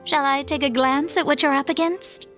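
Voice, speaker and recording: A woman voicing a proud young female astrologer with a self-important, formal, precise manner in an animated game. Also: high voice, moderate pace, faint music behind. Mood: smug, cautioning.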